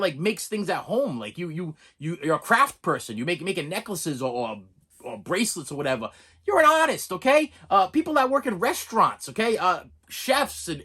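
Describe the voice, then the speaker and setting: Rambling Boston man with a bright, lively voice. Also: sits high, breathy edge. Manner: chatty, repeats and restarts words, laughs often. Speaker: movie review vlogger. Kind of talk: rambling casual monologue to camera.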